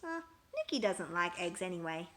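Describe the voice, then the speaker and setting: Lady doing a sweet little girl from a picture book, soft n gentle, defending. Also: lowish voice, big pitch swings, moderate pace, a little hiss or hum, pitched below the performer's own voice. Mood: gentle, defensive. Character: kind little girl defending her cat.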